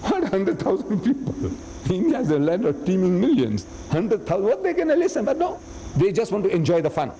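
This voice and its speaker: A man lecturing to an audience, telling an animated story with acted quotes. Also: high-pitched, fairly quick. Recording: long hall reverb, faint noise.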